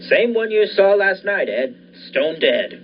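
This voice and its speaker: A man's voice: high voice, big pitch swings, a little slow. A young man playing an obsessive, defiant research scientist in the style of a 1940s radio thriller, earnest and insistent. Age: young adult. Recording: dull lo-fi recording with faint noise.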